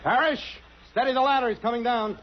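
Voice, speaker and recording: An actor playing a rough, bossy sailor aboard ship in old-time radio drama, with a brusque manner. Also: male voice, very high voice, fairly even intonation, brisk. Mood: commanding. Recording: muffled, noisy old radio recording.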